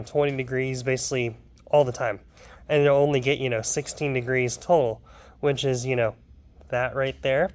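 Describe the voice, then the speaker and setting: Rambling young man, a little wheezy. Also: medium pitch. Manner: rambling, lots of ums and asides. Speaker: car hobbyist vlogger. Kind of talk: casual garage vlog. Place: home garage.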